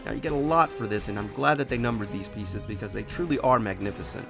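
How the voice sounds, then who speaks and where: A man hosting a collectible statue review, sick with a deeper voice than usual, praising every detail with effusive enthusiasm. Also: fast talker, gravelly. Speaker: collectible toy reviewer, show host. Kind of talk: product review voice-over. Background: background music.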